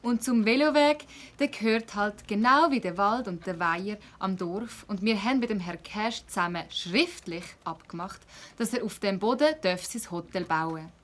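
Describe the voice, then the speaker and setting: A patient village mayor, acted by a woman in a children's audio play. She speaks Swiss German at a middle pitch. Her tone is placating and instructive, as if explaining to children. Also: normal speed, few pauses, lightly acted. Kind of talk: children's audio drama acting.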